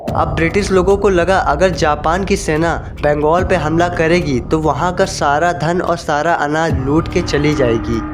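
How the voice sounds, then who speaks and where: A man narrating a scripted history explainer, addressing viewers directly in an explanatory way. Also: a little high.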